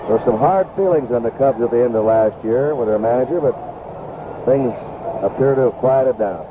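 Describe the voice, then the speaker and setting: A man's voice: medium pitch, moderate pace. An American man calling baseball play-by-play live, in clipped short phrases. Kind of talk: live sports commentary. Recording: tinny, noisy vintage broadcast.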